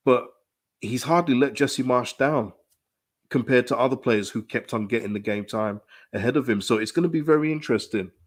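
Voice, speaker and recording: A man, hoarse from a dry throat, giving emphatic live-stream commentary on his football club, full of restarts and repetition. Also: medium pitch, moderate pace. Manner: animated, emphatic, repeats phrases, frequent restarts. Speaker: football fan channel host. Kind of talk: live-stream fan commentary, reading chat.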